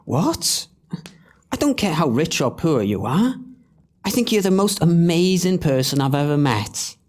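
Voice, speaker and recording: Pantomime prince, male actor: young, earnest, bumbling, hesitant, slow delivery with lively pitch swings. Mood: earnest, tender. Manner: hesitant, stammering. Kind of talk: audio pantomime acting.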